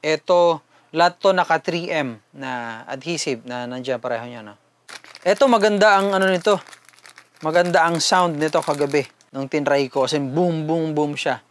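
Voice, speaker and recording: A man's voice: fairly high pitch, normal speed. A man with a Filipino accent doing a casual, conversational unboxing and product review, mixing two languages as he talks informally to viewers.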